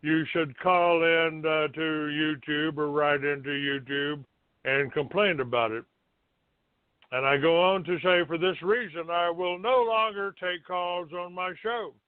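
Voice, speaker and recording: Slow, halting man on a phone-like line. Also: a little high, fairly even intonation, clean tone. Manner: halting, grumbling, blunt with swearing. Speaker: talk show host. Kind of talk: rambling monologue, reading out a written notice. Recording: thin phone-like band, roomy echo.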